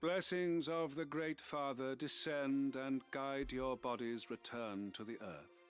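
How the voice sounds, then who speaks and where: A man's voice: mid-range voice, steady tone, slow pace, clean tone, lightly acted. A solemn male priest in a fantasy game, voiced by a man, intones rites in a mid-range voice. The delivery is very drawn out and ceremonial, over faint music. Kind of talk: scripted game drama.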